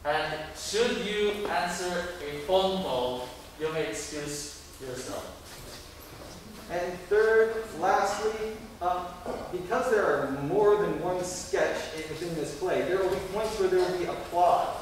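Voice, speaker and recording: Man, live event announcer, fairly high voice, heavy hall echo, audience address. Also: slow. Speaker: presenter introducing a school play. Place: large echoey room, recorded from the audience. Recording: echoey hall, distant mic, faint noise.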